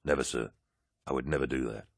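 A calm young warrior, played by a male narrator who answers in a low, soft, restrained voice. His replies carry a dry wryness. The recording is close and dry. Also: quick pace, vocal fry, no room sound, exaggerated voice. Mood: wry.

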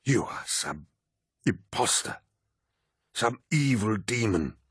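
A man voicing a frail, defiant elderly ruler with a thick antique accent and a raspy voice in an audiobook. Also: fairly low pitch, very animated, takes it slowly, a bit bright, no echo, clean studio sound, voice pushed up, heavily acted. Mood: indignant. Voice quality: raspy and gravelly. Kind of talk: audiobook character voice.

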